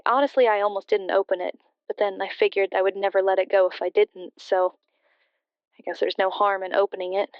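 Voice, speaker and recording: Woman acting bereaved caller, hesitant, smooth voice, flat subdued delivery, muffled band-limited recording. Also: medium pitch, no room sound, lightly acted. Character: grieving, hesitant daughter phoning a late-night radio show. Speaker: caller to a radio show. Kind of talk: confessional storytelling in radio drama.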